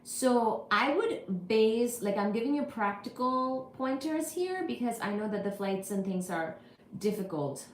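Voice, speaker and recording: A woman's voice: a little high, expressive, measured pace, reverberant, slight background noise. A woman from India, hosting a casual livestream, talking through practical pointers with lots of "you know" and "kinda". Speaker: livestream host. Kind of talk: casual livestream chat answering viewers.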